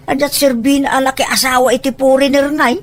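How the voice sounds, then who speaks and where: A woman's voice: high voice, level tone, brisk, soft background music, close to an everyday voice. A woman with a Filipino accent playing a boastful, money-minded older parent in an Ilocano audio drama, pushy and full of exclaimed questions. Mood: nagging.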